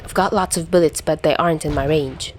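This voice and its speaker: Woman as a teenage boy mafioso, hot-tempered, gruff, grumbling, exasperated, audiobook character, faint noise. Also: low-pitched, fairly quick, close to an everyday voice. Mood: matter-of-fact.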